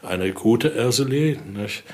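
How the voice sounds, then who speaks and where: A man's voice: medium pitch, animated, slow pace, a little mellow. An older man explaining collection items conversationally as a researcher, in German with non-native grammar slips and frequent questioning tags at sentence ends.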